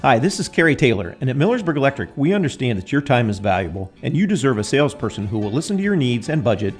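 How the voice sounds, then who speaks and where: A man's voice: medium pitch, big pitch swings, fast talker. A man delivering a scripted radio commercial as a business spokesman. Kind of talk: scripted ad narration. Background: music bed with guitar.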